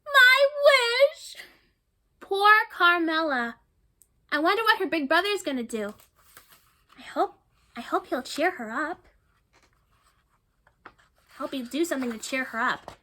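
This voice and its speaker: Bright high-voiced woman reading a children's story aloud. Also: animated, a little slow, long pauses. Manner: chatty, warm asides to young listeners, often repeating herself. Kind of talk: children's read-aloud with questions to listeners.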